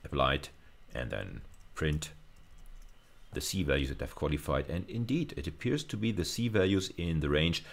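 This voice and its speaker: Very deep, gravelly male lecturer. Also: expressive, moderate pace. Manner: hesitant, thinking aloud while demonstrating. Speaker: university lecturer. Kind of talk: informal technical lecture, live demonstration.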